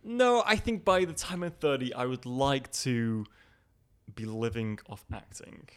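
A man's voice: mid-range voice, a little slow, fairly bright tone, clear, resonant tone. A man in his twenties in a casual chat, talking loosely and laughing often. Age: 20s.

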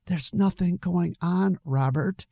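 A man's voice: high-pitched, slow pace, creaky, mellow, no echo, clean studio sound, pitched above the performer's own voice, exaggerated voice. A man voicing an agitated, defensive young man on the edge of tears in an audiobook story. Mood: weary, evasive. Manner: evasive, emotional outbursts.

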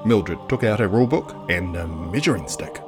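A narrator reading a children's book aloud in a dramatic, playful, expressive style. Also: low voice, moderate pace, over music. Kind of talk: expressive storybook read-aloud.

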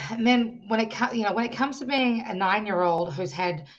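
A woman with a New Zealand accent speaks as an interview guest, explaining her personal story in an articulate way. Her pitch sits in the middle for a woman. The audio is slightly dull and lo-fi. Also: normal speed. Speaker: podcast guest, musician and youth coach.

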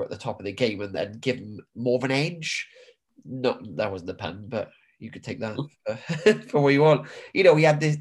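A middle-aged man from the UK with a stuffy nose from hay fever, co-hosting a podcast in casual, chatty talk that is peppered with swearing. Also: fairly low pitch. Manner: chatty, swears casually, says "bro" and "mate". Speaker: podcast co-host. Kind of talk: casual chat.

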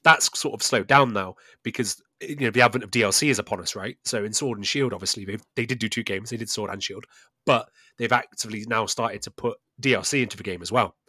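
Man, rapid speech, slightly low pitch, enthusiastic, conversational, podcast co-host, tag questions. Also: sharp tone, breathy edge, dry recording. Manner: enthusiastic, tags statements with "right?". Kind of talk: casual chat about video games.

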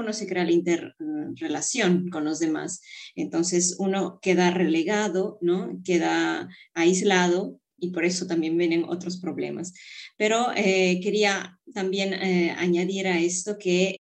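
Lower-voiced woman explaining conversationally. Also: a little mellow. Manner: explanatory, often checks with "¿no?".

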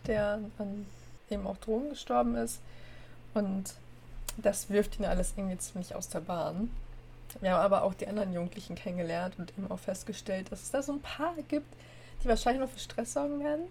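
A woman with a cold hosting a podcast and reading a story aloud in a steady, plain way. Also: mid-range voice, gravelly, a little hiss or hum. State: has a cold. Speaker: narrator and host.

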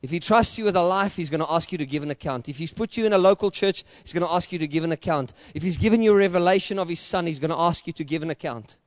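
A middle-aged South African man preaches with a high, ringing voice, stacking repeated phrases into loud, emphatic climaxes. His Afrikaans-rooted accent colors every line, and the sound is close and dry with no room around it. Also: quick pace, few pauses, no room sound. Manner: passionate preaching, rising to loud emphatic peaks; repetitive rhetorical build-ups. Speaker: pastor. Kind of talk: sermon.

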